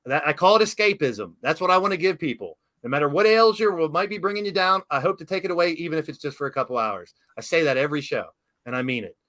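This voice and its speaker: Excitable middle-aged talk show host. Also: male voice, on the high side, fast. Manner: enthusiastic, emotional, rambling storyteller. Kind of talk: casual interview chat.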